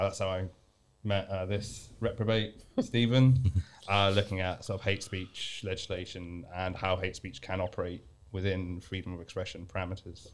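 A man's voice: on the low side, clear, resonant tone. A man, a journalism advisor guest, chatting casually with wry asides. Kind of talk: casual chat.

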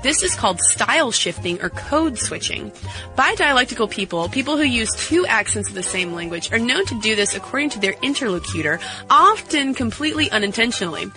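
A woman's voice: a little high, big pitch swings, brisk, over music, poor audio. A woman co-hosting a podcast, reading listener letters in a chatty, amused way. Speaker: podcast co-host.

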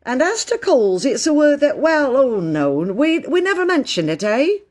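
A woman's voice: sits high, sing-song, moderate pace, a little mellow, pitched above the performer's own voice. A woman voicing a jolly, affable male station master in a children's storybook. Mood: jovial, bashful.

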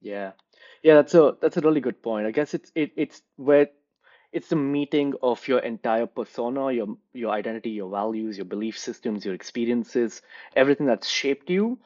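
A man from India, a career coach, giving thoughtful, measured interview answers built around examples. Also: medium pitch, darkish tone, muffled recording.

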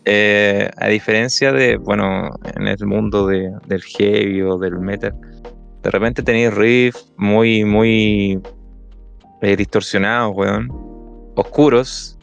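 Chilean young man, co-host, deep gravelly voice, loose and hesitant, faint music behind. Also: steady tone, slow, lo-fi sound. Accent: Chilean Spanish. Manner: laid-back, hesitant, slangy. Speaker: podcast co-host. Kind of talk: casual music chat.

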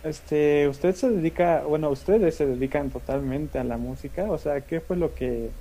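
A man interviews a band, his voice mellow and a bit higher than most. He asks his questions haltingly and often corrects himself partway through. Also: slightly rough. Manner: hesitant questions with self-corrections. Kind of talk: casual interview.

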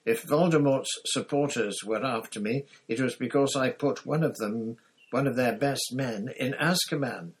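A man reading an audiobook, voicing a frightened, sweaty man who squeals shrill denials. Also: normal speed, lightly acted, poor audio. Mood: panicked.

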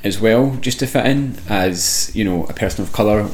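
A man's voice: on the low side, normal speed, darkish tone, rough recording. A Glaswegian man in his thirties, a podcast guest, speaking openly and reflectively about his life and laughing easily. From Glasgow, Scotland. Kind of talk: candid personal storytelling.

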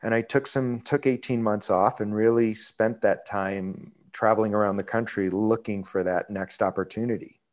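A man in his forties, a restaurant executive, telling his career story in a relaxed, rambling way with lots of fillers. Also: fairly low pitch, normal speed, few pauses. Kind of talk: conversational interview storytelling.

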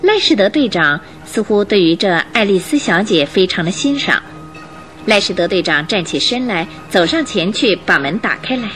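A woman narrator telling a radio drama story in an expressive, scripted and dramatic style, building suspense. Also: medium pitch, moderate pace. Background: music.

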